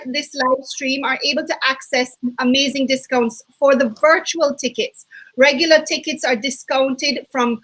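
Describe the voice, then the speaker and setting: A woman co-hosting an online summit on a video call, bubbly and quick to laugh, often correcting herself mid-sentence. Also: sits high, pushed and bright, poor audio. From Jamaica. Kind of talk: enthusiastic webinar hosting.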